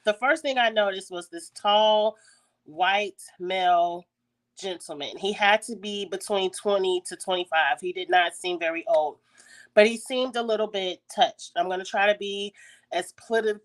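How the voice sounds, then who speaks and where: Congested raspy woman telling a story. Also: medium pitch, moderate pace. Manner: chatty, frequent throat clearing. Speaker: YouTube host. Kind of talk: casual story time. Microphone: phone.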